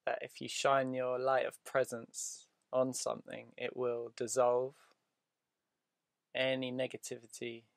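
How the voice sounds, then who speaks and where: A male meditation guide speaks very slowly and evenly, with long pauses between short phrases. His soothing voice has a gravelly fry to it and is recorded close and dry. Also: mid-range voice, monotone, dry recording. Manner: soothing, deliberate, with drawn-out pauses.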